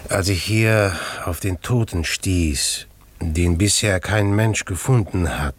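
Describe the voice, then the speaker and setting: An actor playing a scheming, secretive village innkeeper in debt, in a German radio drama, with an insistent, calculating manner. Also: male voice, deep voice, takes it slowly. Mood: coldly insistent. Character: scheming, debt-ridden village innkeeper coldly plotting a crime.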